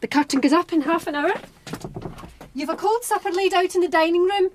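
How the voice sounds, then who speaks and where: An actress in a radio drama playing a brisk, tart Scottish housekeeper, proper and pointed in manner. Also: female voice, extremely high pitch, slight background noise.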